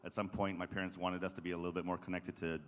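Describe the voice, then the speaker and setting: A man with a very low voice gives an earnest political speech. He is from Israel and speaks somewhat haltingly, and the hall around him adds long reverb. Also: flat delivery. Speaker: state assembly member, panel speaker. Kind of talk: semi-formal political speech to an audience. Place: large indoor conference session room.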